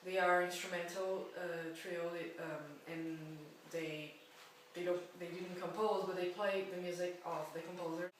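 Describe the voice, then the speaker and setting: A woman's voice: on the low side, level tone, a little slow, very echoey, far from the mic, a little hiss or hum, low-quality recording. A woman, a music student telling her story in a hesitant way, with many restarts and fillers. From Brazil. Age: 20s. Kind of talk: personal account interview.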